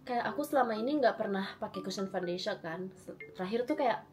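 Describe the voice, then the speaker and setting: A young woman in her twenties, slightly hoarse from a recent cold, chatting casually and rambling with lots of fillers and giggles while she does her makeup at home. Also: medium pitch, expressive, a little fast, over music. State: recovering from a cold. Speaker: beauty vlogger. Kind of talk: casual makeup vlog chat.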